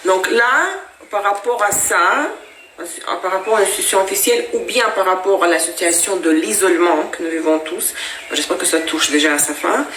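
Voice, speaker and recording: A woman's voice: lowish voice, normal speed, fairly bright tone, a little hiss or hum. A woman whose first language is Russian, giving a casual tarot reading to viewers in a chatty, explaining way with frequent hesitations. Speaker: tarot reader. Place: at home with her cat. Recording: echoey room.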